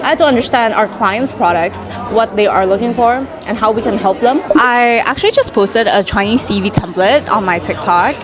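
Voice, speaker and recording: A young woman in her twenties from Indonesia, chatting casually in a Q&A vlog, full of "like"s and asides. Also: on the high side. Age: 20s. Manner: chatty, many "like"s, quick asides. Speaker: vlogger. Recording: music playing in the background.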